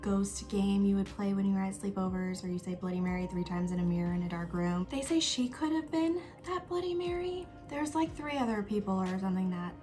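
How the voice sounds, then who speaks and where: A young woman hosting a casual vlog, chatting in an upbeat way while doing her makeup, sometimes dropping to a soft whisper. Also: mid-range voice, lively intonation, fairly quick, few pauses, soft background music. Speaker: YouTube host.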